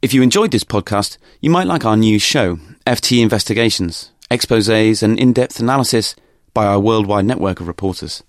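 A man's voice: on the low side, expressive, fairly quick, dry recording, clean studio sound. A man reads a scripted podcast promo as an announcer.

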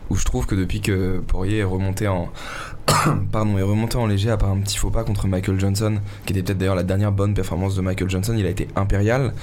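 A man with a fairly low voice gives opinions in a relaxed sports panel. His talk is slangy and repetitive as he reasons, with faint ambient noise behind him. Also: fast talker. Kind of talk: casual sports discussion.

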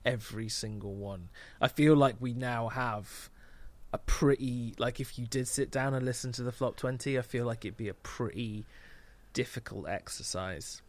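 A man's voice: mid-range voice, normal speed. A man co-hosting a casual podcast, dry and teasing with his friend. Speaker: podcast co-host.

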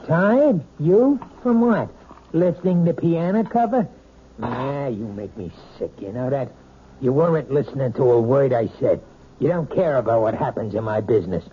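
An actor playing a domineering, bitter husband who runs a trucking company, in a 1970s radio drama. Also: male voice, medium pitch, lively intonation, normal speed, slightly rough. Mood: bitter, bullying. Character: bullying trucking boss husband, man, middle-aged. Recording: dull old radio broadcast with faint hiss.

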